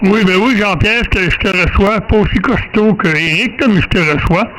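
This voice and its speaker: A man's voice: sits high, normal speed, fairly bright tone, rough recording. A man with a Quebec French accent chats casually as an amateur radio operator, hesitating, repeating words and rambling along. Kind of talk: casual radio contact chat. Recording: amateur radio recording, faint static.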